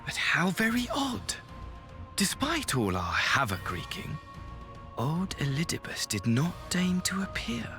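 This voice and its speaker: Flamboyant gleeful game villain, sing-song, drawn out and slow. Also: male voice, high voice, wildly swooping pitch, over music, exaggerated voice. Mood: scheming. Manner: grandiose, sing-song and mocking, bursts of manic laughter. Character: flamboyant, gleeful, mocking male villain servant. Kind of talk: theatrical game cutscene acting.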